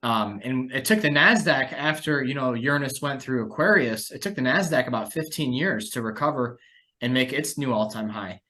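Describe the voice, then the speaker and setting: A man hosting a market analysis show, giving conversational financial commentary in a measured way, thinking aloud as he goes. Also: mid-range voice, animated, bright, forceful tone. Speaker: host of a market analysis podcast.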